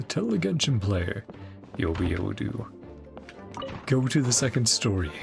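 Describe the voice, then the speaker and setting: A man's voice: on the low side, gravelly. A man casually reacting to a game, chatty, laughing often and reading lines aloud. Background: game music and dialogue.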